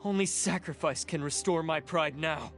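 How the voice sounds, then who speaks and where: A man's voice: on the high side, normal speed, natural voice. A man voicing a proud, guilt-ridden blue oni in a video game, intense and bitter in manner. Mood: bitter, resolved. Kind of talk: dramatic game voice acting. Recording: background music under the voice.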